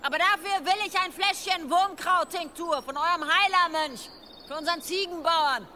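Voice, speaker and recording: High, sharp-voiced tough negotiator. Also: female voice, moderate pace, a little hiss or hum. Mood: firm, bargaining. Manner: curt, firm, businesslike. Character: tough, unflinching woman negotiator in a medieval feud. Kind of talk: German historical audio drama acting.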